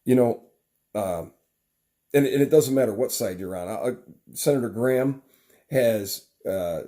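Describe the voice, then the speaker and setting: Mellow-voiced rambling man. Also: mid-range voice, measured pace. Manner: rambling, earnest, with many restarts. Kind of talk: unscripted political monologue.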